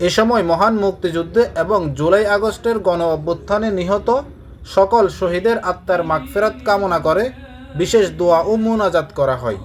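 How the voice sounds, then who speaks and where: A man's voice: sits high, moderate pace. A man with a Bangladeshi accent narrating a scripted news report as a reporter.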